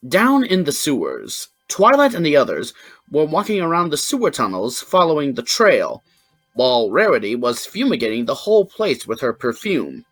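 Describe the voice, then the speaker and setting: A woman's voice: sits low, huge pitch swings, normal speed. A woman narrating a scripted fan fiction story. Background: background music.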